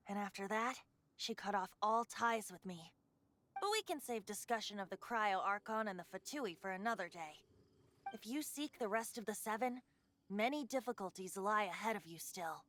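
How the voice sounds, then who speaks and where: A woman's voice: mid-range voice, moderate pace, a bit bright, lightly acted. A woman voicing a carefree ancient wind god in the form of a youthful male bard, speaking in an airy, wistful storyteller manner for a video game. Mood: wistful, explanatory. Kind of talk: scripted video game voice acting.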